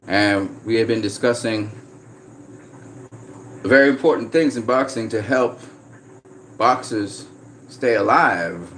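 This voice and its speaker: A man from Trinidad chatting casually on a livestream, reading out viewer comments in a relaxed way. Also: fairly low pitch, slight background noise. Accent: slight American-sounding Trinidadian. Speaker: vlogger.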